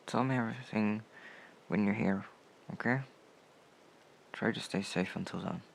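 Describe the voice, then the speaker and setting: A man acting a caring, protective male comrade in a comfort roleplay, speaking softly and soothingly with unhurried pauses. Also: lowish voice, gravelly, slight background noise. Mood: worried, soothing. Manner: soft-spoken, hushed, soothing, with unhurried pauses. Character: caring male anarchist comrade, protective, gently scolding, tending a friend.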